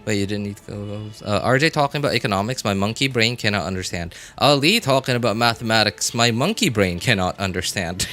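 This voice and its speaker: A man's voice: lowish voice, fairly quick, hardly any pauses, bright, forceful tone. A man doing casual live gameplay commentary, thinking aloud in short informal remarks and chatting with his viewers. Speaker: game livestreamer. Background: game music.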